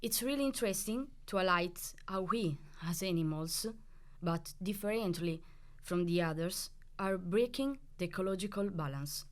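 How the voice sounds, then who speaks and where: A woman with a lowish, clear and resonant voice reads carefully for a student podcast. Her delivery is steady, with a few small slips. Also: normal speed. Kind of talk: reading a prepared segment on the environment.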